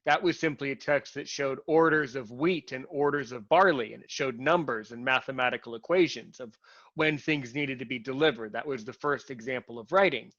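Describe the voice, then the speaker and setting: A man's voice: mid-range voice, fairly quick, a bit bright, dry recording. A man hosting a casual conversation, who rambles and restarts his sentences a lot. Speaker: host. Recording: muffled, lo-fi, dry sound.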